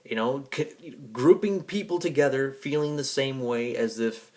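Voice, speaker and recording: A man's voice: mid-range voice, a little slow, darkish tone. A man giving a rambling personal monologue to an audience in an emphatic, defiant, profanity-laced way. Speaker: vlogger and music fan.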